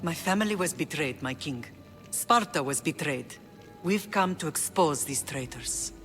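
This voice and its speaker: A woman's voice: low-pitched, lively intonation, measured pace, fairly bright tone, faint music behind. A woman acting a proud, determined Spartan mother in a video game, middle-aged, grave and composed. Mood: resolute.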